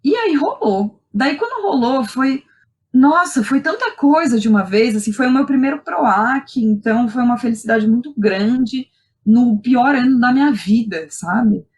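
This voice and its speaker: A young Brazilian woman speaking as a podcast guest, telling personal stories in an animated, colloquial way and often checking in with the listener. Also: a little high, unhurried. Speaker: guest, playwright and theatre director. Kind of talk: casual personal storytelling in a podcast interview.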